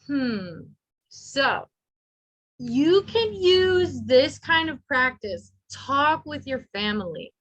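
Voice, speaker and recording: A woman teaching an adult English class in a high, bright voice. She speaks slowly and patiently and praises her learners often. She spells out each idea so they can follow. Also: sing-song, rough recording. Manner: patient, encouraging, models words slowly.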